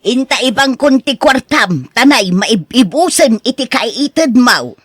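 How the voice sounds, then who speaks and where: An actress plays an exasperated older wife in a Filipino radio drama, with an Ilocano accent. Her voice sits on the high side. She nags and reproaches in a close, dry recording. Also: sing-song, unhurried, fairly bright tone, slightly rough, no room sound, full character voice. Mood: worried, scolding. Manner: nagging, reproachful. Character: exasperated, scolding older wife of a show-off husband.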